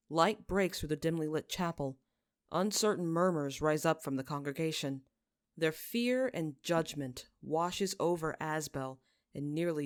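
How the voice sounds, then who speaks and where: A woman's voice: sits low, dry recording, studio quality. A woman reading scripted story narration, in the manner of an audiobook. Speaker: fan fiction narrator.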